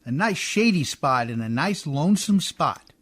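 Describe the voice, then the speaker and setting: A man playing a folksy, rough-spoken boat mechanic in a radio drama, deferential but skeptical, with ungrammatical homespun speech. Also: fairly high pitch, animated, moderate pace, a bit bright. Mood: brisk, reassuring. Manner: folksy ungrammatical speech, often adding "miss". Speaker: actor in a community radio theatre. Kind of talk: radio drama acting in an old Hollywood radio style.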